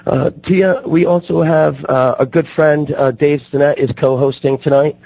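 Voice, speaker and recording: A man hosting a radio talk show, his voice still recovering from being lost, talking haltingly with many fillers and restarts. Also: on the high side, fairly even intonation, vocal fry, darkish tone, no echo, poor audio.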